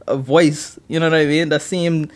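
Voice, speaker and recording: A young man with a Bahamian accent, co-hosting a casual podcast chat in an animated, slangy way. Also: a little high, moderate pace. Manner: animated, says bro often. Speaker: podcast co-host.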